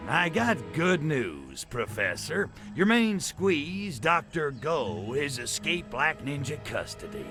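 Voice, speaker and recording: An actor playing a cocky, lecherous alien invader in a video game, delivering sardonic wisecracks full of innuendo. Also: male voice, on the high side, big pitch swings, slow pace, few pauses, music in the background, heavily acted. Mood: cocky, teasing. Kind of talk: video game cutscene acting.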